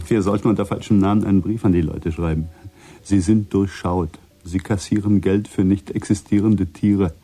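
A man's voice: deep voice, fairly even intonation, moderate pace, dark tone, husky, faint music behind. An actor playing a composed, wary and urbane married man in a radio play, casual yet probing. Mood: casual, amused.

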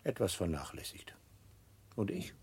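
Radio play role: proud, fussy old coachman, very husky low voice, hugely animated intonation. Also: measured pace, whispered, heavily acted. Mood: wondering. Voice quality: husky, breathy. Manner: musing, fussy, incredulous. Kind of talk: absurdist radio play acting.

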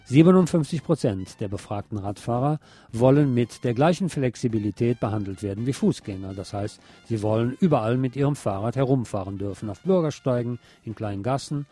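A man's voice: medium pitch, brisk, mellow, husky, faint music behind. A man presenting local news on the radio in a scripted reading style.

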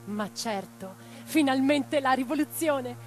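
A woman's voice: high voice, slow, darkish tone. A woman playing an impassioned, tender revolutionary writer in a radio drama, speaking in a lyrical, declamatory way. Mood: excited. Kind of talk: theatrical radio drama monologue and dialogue. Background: plucked guitar music.

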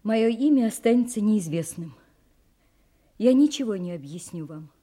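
A woman's voice: medium pitch, lively intonation, moderate pace, warm tone, natural voice. An actress playing a guarded, dignified young female suspect in a radio play, speaking with reserve and resolve. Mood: guarded, resolute. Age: young adult.

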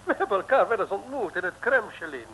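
An effusive, swooping theatrical official. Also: male voice, high-pitched, big pitch swings, a little fast, a bit bright. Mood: conspiratorial. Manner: florid, gushing. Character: effusive, theatrical, excitable foreign official and comrade. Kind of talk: old radio serial comedy acting. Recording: noisy old radio recording.

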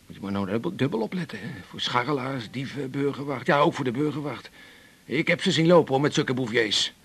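An actor playing a touchy, pleading down-and-out patient who rambles insistently in a naturalistic radio drama. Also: male voice, mid-range voice, expressive, a little fast, a bit bright, husky. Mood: pleading, agitated. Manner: rambling, insistent, jumping between grievances.

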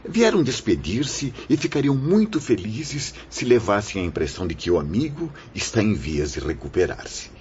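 A man's voice: lowish voice, very animated, normal speed, few pauses, a little mellow. An actor playing a wise, consoling, fatherly spirit mentor who preaches solemnly in a religious radio drama. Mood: gentle, welcoming. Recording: dull lo-fi audio with faint noise.

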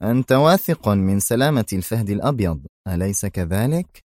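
A man's voice: fairly low pitch, lively intonation, a little fast, darkish tone, dry recording, studio quality, lightly acted. A man voicing a fidgety, nervous associate who speaks in a tense, edgy way.

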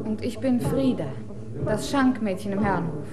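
Eine Schauspielerin spielt in einem Hörspiel ein selbstbewusstes junges Schankmädchen, das neckend und lebhaft spricht. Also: female voice, medium pitch, expressive, moderate pace, over music, natural voice. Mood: teasing. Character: confident young female barmaid, teasing and bright. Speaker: barmaid character. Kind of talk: radio play acting. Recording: loud crowd in a taproom behind.

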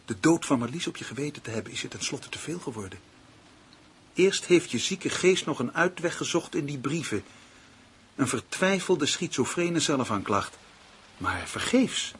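A man acting as a cold, calculating male villain in a radio drama. His delivery swings widely in pitch, theatrical and deliberate, taunting with mock sweetness. The recording is old and slightly noisy. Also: close to an everyday voice. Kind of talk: Dutch radio play acting.

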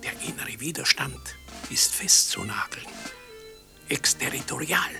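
An actor in a German radio drama playing a deluded old asylum inmate, an imperious fallen emperor who barks clipped fragments. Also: male voice, medium pitch, expressive, a little slow, gravelly, in a whisper, music in the background. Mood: indignant, scornful. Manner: clipped, commanding, telegraphic fragments.

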